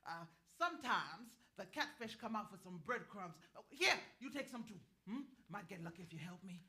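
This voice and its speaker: A woman's voice: mid-range voice, lively intonation, normal speed, pushed and bright. A woman playing a sly, earthy, ageless river woman in a staged play reading, with a folksy, teasing, knowing way of talking. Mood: playful, coaxing. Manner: folksy, teasing, chuckling drawl of a wise elder.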